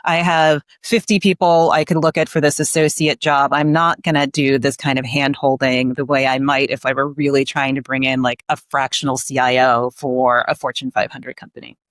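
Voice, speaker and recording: A woman's voice: a bass voice, flat delivery, moderate pace, few pauses, no echo. A middle-aged woman giving candid, conversational commentary as an interview guest, talkative and full of asides. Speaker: staffing industry researcher, podcast guest.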